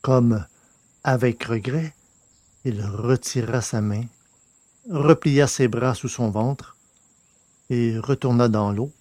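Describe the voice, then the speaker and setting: A man with a slightly husky voice reading scripted fiction as an audiobook narrator. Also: mid-range voice, slow, pauses often, darkish tone, no echo.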